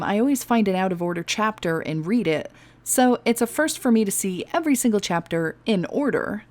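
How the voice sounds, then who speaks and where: A woman's voice: medium pitch, darkish tone. A woman storyteller reading fiction expressively and chatting casually as host of her own channel. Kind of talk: expressive audiobook narration and casual outro.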